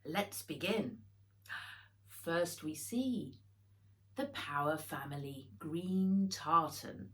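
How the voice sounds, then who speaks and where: A woman narrates a children's storybook in a slightly low voice. She takes her time and varies her pitch widely, giving the action words dramatic emphasis. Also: lively intonation, extremely slow, fairly bright tone. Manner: dramatic, with sound words acted out. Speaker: author reading own book.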